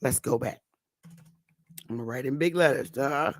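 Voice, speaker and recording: A woman's voice: very deep voice, no echo. Emphatic woman teaching in a dry room. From northern United States. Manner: emphatic, preachy, with slow drawn-out stresses. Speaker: online talk show host. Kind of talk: casual teaching monologue.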